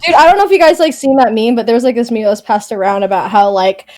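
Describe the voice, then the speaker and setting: High-voiced young woman, rambling. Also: quick pace, darkish tone. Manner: rambling, heavy "like", swears freely. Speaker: podcast co-host. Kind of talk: casual group chat.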